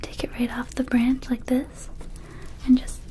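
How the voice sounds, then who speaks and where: A woman voicing a gentle vampire dispensary keeper in a whispered ASMR roleplay, soothing and unhurried, with childlike wording. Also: sits high, completely flat delivery. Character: gentle female vampire dispensary keeper offering magic herbs.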